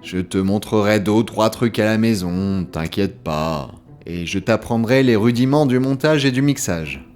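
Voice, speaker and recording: A man's voice: on the low side, lively intonation, unhurried, a little mellow. A man playing a reassuring, drily teasing veteran employee showing a newcomer around, in a French audio comedy. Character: wry, reassuring male office guide, patient veteran employee.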